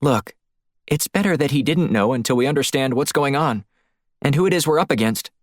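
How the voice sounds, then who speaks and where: A man's voice: mid-range voice, expressive, a little fast, dry recording, clean studio sound, voice pushed up, close to an everyday voice. A man voicing a capable, cautious female agent in an audiobook, with an earnest, frank and concerned delivery. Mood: reassuring, frank. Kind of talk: audiobook character voice.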